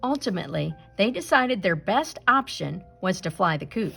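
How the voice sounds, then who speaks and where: A woman reading a children's story aloud with expressive narration. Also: mid-range voice, moderate pace, gravelly, husky, faint music behind. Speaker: storybook reader.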